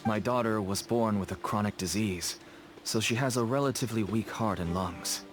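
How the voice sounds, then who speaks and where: A man's voice: lowish voice, moderate pace, close to an everyday voice. An actor playing a nervous, ingratiating merchant father with a shady trade in a video game. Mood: earnest, weary. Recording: game music behind the voice.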